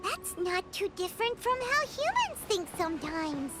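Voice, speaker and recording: Tiny excitable girl sidekick; squeaky high voice, swooping wildly, third-person self-talk. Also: normal speed. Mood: curious. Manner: refers to herself by name, indignant and chatty. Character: tiny floating girl companion, chirpy and excitable. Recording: game audio over loud music.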